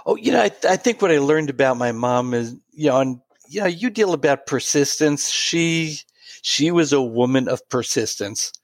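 A man in his late sixties telling a personal story in an interview, pausing and restarting often. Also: mid-range voice, lively intonation, no echo. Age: 60s. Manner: warm, halting anecdotal storytelling with many restarts. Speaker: guest.

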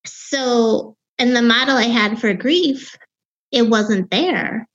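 A woman in her fifties with a Wisconsin accent and a young-sounding voice, telling her own story as an interview guest in a reflective way, with halting restarts. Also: a little high, fairly bright tone, lo-fi sound. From Wisconsin, USA. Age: 50s. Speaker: author and grief guest.

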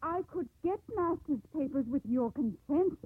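An actress playing a strong-willed young ship captain in a 1950s radio drama, speaking in a crisp, commanding way. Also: female voice, sits high, lively intonation, moderate pace. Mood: firm, earnest. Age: young adult. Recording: muffled old 1950s radio recording.

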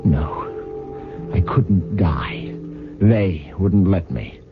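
An actor playing a bitter, self-loathing prisoner in a brooding 1970s radio drama monologue. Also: male voice, low-pitched, expressive, extremely slow, exaggerated voice. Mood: bitter despair. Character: despairing self-loathing prisoner recounting his captivity. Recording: dull 1970s radio broadcast. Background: music.

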